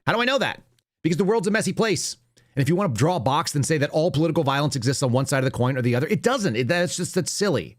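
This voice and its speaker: A man's voice: a little high, animated, very fast, no room sound, clean studio sound. An American man giving opinionated, unscripted political commentary as a talk show host, blunt, conversational and emphatic.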